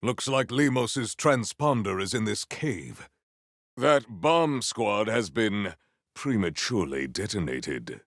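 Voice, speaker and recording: An actor playing a proud, battle-hungry warrior in a video game, with formal, stilted and grandiose diction. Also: male voice, fairly low pitch, lively intonation, takes it slowly, a bit bright, no echo, clean studio sound, exaggerated voice. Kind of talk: scripted video game character lines.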